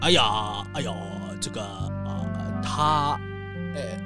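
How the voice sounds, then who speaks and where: A man playing a chatty, excitable town mayor in a children's radio drama, exclaiming and stretching words. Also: on the low side, over music. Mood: hesitant, gossipy. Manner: exclaiming, drawn-out words, gossipy.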